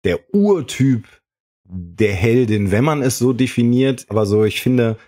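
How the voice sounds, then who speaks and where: A man's voice: mid-range voice, animated, a little slow, mellow. A man talking casually as a panelist in a podcast discussion.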